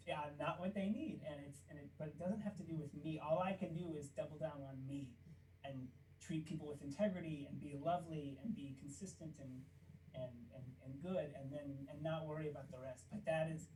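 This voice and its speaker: A man from Kansas with a squeaky voice, a puppeteer guest chatting casually and acting out stories. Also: fairly quick, far from the mic, slight background noise, low-quality recording. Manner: animated storytelling, acts out quotes. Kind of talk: casual interview chat.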